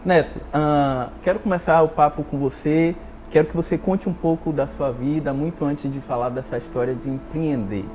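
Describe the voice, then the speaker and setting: A man's voice: a little high, steady tone, normal speed, darkish tone, a little hiss or hum, rough recording. A man hosting a talk show, presenting and asking guests questions in a conversational way.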